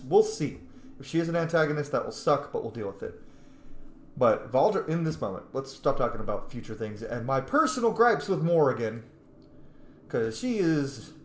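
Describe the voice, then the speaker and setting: A man's voice: mid-range voice, a bit bright. A man playing a video game and commenting on it casually, chatty and opinionated. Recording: echoey room, faint background noise.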